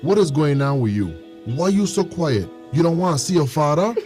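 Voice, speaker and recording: A man acting as a forceful Caribbean father in a radio drama. His voice is on the higher side, with faint music behind. He is protective of his son and demanding. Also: expressive, normal speed, natural voice. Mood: concerned, coaxing.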